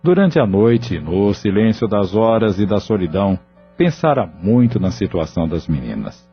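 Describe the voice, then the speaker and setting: Solemn low-voiced male narrator. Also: big pitch swings, moderate pace. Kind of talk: scripted audio drama narration. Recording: dull lo-fi audio with faint music.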